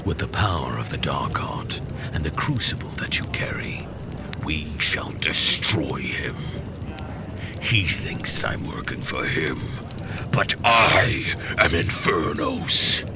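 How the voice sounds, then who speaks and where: An extremely low, gravelly villain in a fantasy audio drama. He speaks slowly in a whispery growl, grandiose and menacing, with dramatic swings in pitch. He sounds like a warlord bent on conquest. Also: sing-song, background noise, heavily acted. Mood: defiant. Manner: slow, grandiose.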